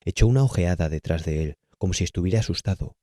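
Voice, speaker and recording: A man's voice: deep voice, fast, warm tone, no room sound. A man with a Castilian Spanish accent reading a literary horror tale as an audiobook narrator, close to the microphone. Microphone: close, dry studio-style microphone.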